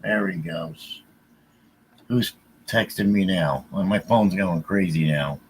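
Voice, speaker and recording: A man's voice: low-pitched, slow, low-quality recording. Casual man with noise behind him. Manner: repeats short phrases. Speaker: podcast livestream co-host. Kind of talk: casual live chat.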